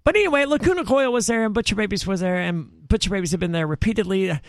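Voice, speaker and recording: A man's voice: high voice, animated, quick pace, no echo, studio quality. A man hosting a casual podcast chat, talking in rambling, self-correcting bursts with emphatic asides. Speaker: podcast host. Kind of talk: casual chat about metal bands.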